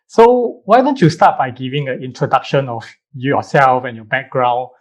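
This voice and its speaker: A man's voice: medium pitch, normal speed. A man hosting a podcast, upbeat and chatty, asking long, rambling questions. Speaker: podcast host. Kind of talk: casual interview chat.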